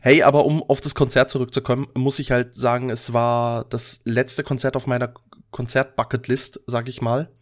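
A man's voice: medium pitch, little pitch movement, normal speed. A man chatting casually as a podcast host, with a mild cold, recorded on a close microphone. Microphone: close USB or headset microphone.

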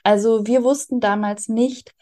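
A woman's voice: a little high, fairly even intonation, a little slow, dark tone. A woman writer explaining her work in conversational interview answers. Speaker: interviewed audio drama writer.

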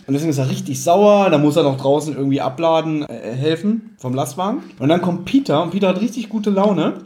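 Guy in his 30s, slightly high voice, casual podcast chat, does little quotes while retelling stuff. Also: lively intonation, brisk, warm tone. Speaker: podcast co-host. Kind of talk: casual fan discussion of an audio drama.

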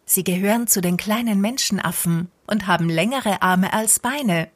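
A woman's voice: mid-range voice, normal speed, natural voice. A woman playing a worried, caring mother squirrel in a children's audio drama, fretting and patiently explaining.

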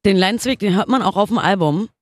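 A woman's voice: medium pitch, fast, no echo. Woman, radio host, casual interviewing, conversational delivery, dry close studio sound.

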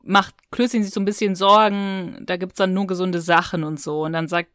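A woman's voice: fairly low pitch, flat delivery, fairly quick, a bit bright, no room sound, studio quality. A woman talking casually as co-host of a discussion podcast.

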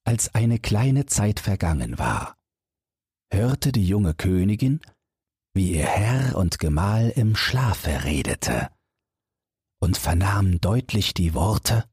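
Very husky, low-voiced male storyteller. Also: very animated, darkish tone, no room sound, clean studio sound. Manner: measured, storybook reading with deliberate phrasing. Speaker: audiobook narrator. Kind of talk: bedtime fairy tale narration.

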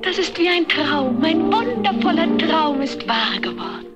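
A woman's voice: very high voice, animated, a little slow. A woman playing a dreamy, overjoyed young fairy-tale heroine in an audio drama, gushing with wonder. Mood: awestruck delight. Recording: dull old recording under loud music.

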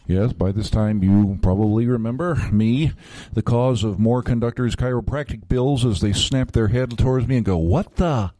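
A man's voice: mid-range voice, normal speed, few pauses, dark tone, no echo. A man hosting an interview with a wry, self-deprecating sense of humor. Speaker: interview host. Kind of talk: casual joking interview.

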